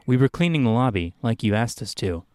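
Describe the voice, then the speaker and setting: A man's voice: mid-range voice, big pitch swings, brisk, darkish tone, no echo, natural voice. A man voicing a nervous, defensive employee who protests earnestly and insistently in a spoken short story.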